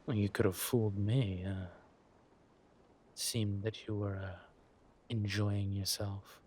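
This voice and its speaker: Man playing a very slow, suave villain king. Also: lowish voice, little pitch movement, a little mellow, slight background noise. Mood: teasing. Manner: slow, halting, purring hums and teasing drawl. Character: suave, ruthless villain king, affectionate with his wife. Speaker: roleplay audio performer. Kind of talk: villain roleplay audio drama, intimate monologue to a partner.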